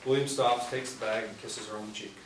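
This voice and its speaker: A man in his forties reads script narration in a low voice. The recording is roomy and a little distant. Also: fairly even intonation, fairly quick. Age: 40s. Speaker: narrator of stage directions. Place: small room indoors. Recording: roomy, distant mic, faint noise.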